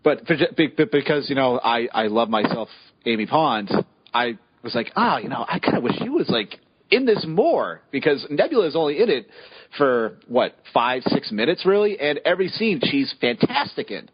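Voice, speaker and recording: A man co-hosting a podcast, chatting casually and excitably with frequent stammered restarts. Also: mid-range voice, moderate pace, husky, no echo, highs cut off. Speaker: podcast co-host. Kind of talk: casual chat.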